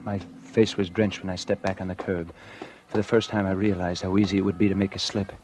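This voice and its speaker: An actor playing a nervous, scheming murderer who narrates his crime in a deliberate, confessional way, in 1940s radio suspense style. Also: low-pitched, little pitch movement, a little mellow, slight background noise, natural voice. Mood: tense, calculating. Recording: vintage radio drama with music underneath.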